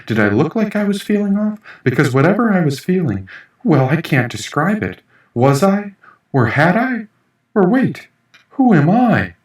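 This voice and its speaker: Man acting a dazed, high-pitched wonder-struck tripper. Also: big pitch swings, normal speed. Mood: anxious confusion. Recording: echoey room, distant mic.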